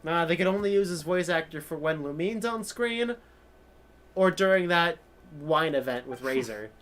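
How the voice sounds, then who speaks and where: A man's voice: fairly high pitch, expressive, normal speed, fairly bright tone. A young man streaming a video game live, commenting in a wry, offhand, joking way.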